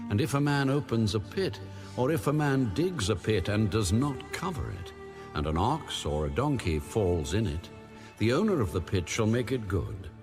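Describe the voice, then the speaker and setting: A man reads scripture in a low voice that rises and falls expressively. His delivery is formal and solemn, with measured phrasing, and faint music sits behind him. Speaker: Bible audiobook narrator.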